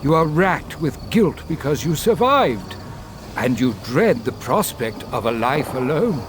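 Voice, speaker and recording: Slow, solemn, wise creature guide. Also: male voice, on the high side, big pitch swings, a little hiss or hum. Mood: solemn counsel. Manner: solemn, deliberate, oracular. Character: weary, grave wise creature guiding a girl. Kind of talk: dark fantasy video game acting.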